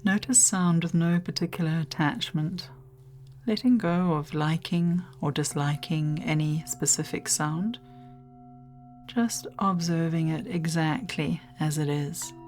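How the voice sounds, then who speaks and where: A woman leading a guided meditation in a soothing, gentle, unhurried manner with long pauses. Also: sits low.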